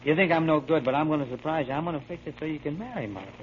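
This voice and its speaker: An actor playing a feckless, remorseful grown son and brother who blames himself and promises big, in 1940s radio drama style. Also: male voice, fairly high pitch, very fast, natural voice. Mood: drunken self-reproach. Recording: muffled, hissy old radio broadcast.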